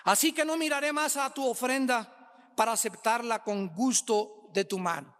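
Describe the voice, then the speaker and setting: High-pitched, emphatic Mexican male preacher. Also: moderate pace, fairly bright tone. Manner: emphatic, repeats phrases for effect. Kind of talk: sermon. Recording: echoing hall with long reverb.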